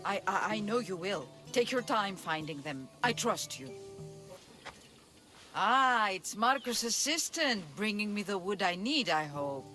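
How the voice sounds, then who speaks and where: A woman's voice: mid-range voice, expressive, a little slow, bright, forceful tone. An actress playing a sarcastic, bossy bow merchant, a brash woman in a video game cutscene, over music. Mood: nervous, backpedalling. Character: brash, foul-mouthed female bow merchant, impatient and mercenary.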